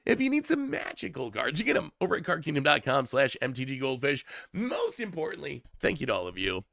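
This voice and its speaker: A man's voice: a little high, huge pitch swings, brisk, gravelly, fairly bright tone, no echo, studio quality. A man thinking aloud on a casual livestream, often repeating words, with a voice worn down from congestion. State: losing his voice from congestion. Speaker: streamer reviewing card spoilers.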